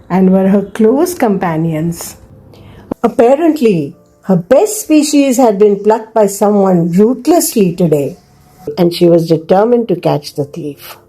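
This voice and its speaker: A woman storyteller reads a children's tale expressively and deliberately. Her voice is mellow and slightly soft-edged, with wide, animated swings of pitch, and music plays beneath her. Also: husky, rough recording. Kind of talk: scripted children's story narration.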